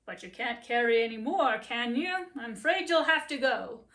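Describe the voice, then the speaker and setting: A woman's voice: fairly high pitch, expressive, moderate pace, fairly bright tone. A woman voicing a blunt, dismissive farm master in a storybook.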